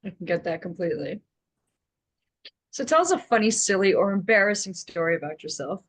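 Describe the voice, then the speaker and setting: A woman's voice: medium pitch, animated, fairly quick. A woman hosting an interview, asking short encouraging questions. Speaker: host.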